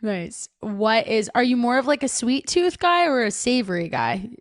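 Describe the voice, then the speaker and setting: A woman's voice: a little high, sing-song, normal speed, no echo, studio quality. Chatty woman podcast host. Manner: chatty, upbeat, lots of "like" and "right?". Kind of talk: casual podcast interview.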